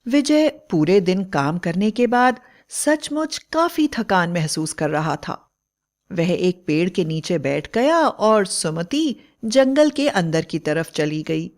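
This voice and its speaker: A woman's voice: lowish voice, lively intonation, slow, darkish tone. A woman narrating a scripted bedtime story as a storyteller.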